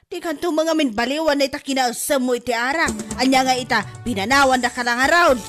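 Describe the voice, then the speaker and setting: A woman acting a scolding mother in a comedy drama who talks in loud nagging exclamations. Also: high-pitched, brisk, over music, lightly acted. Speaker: Nana, a mother.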